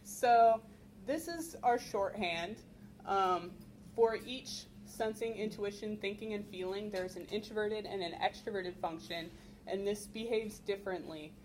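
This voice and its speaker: A woman's voice: mid-range voice, unhurried, a little mellow. A young woman with an ordinary mid-range voice explains a topic to a convention crowd, often filling gaps with "um". There is no microphone, and faint noise hangs in the room. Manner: explanatory, filler-heavy with frequent ums. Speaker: convention panelist. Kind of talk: convention panel presentation. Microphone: no microphone, recorded from the room.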